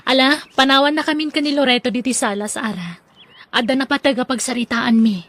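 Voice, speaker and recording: A woman playing a devoted, earnest young sweetheart in an audio drama, with a breathy voice. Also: high voice, a little fast, a bit bright. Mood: welcoming. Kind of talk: Ilocano audio drama acting.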